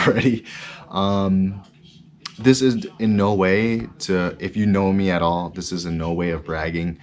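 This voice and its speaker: A man in his thirties talking casually to camera in a small basement room, rambling and earnest with lots of fillers and restarts. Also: low voice. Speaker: vlogger. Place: small finished basement room with bed, indoors.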